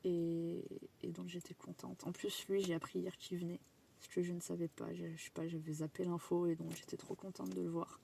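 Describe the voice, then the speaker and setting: Gravelly-voiced young woman, sick and tired. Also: fairly low pitch, little pitch movement, fairly quick, mellow, slight background noise. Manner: chatty, rambling, casual swearing. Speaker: vlogger. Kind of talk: casual vlog chat to camera.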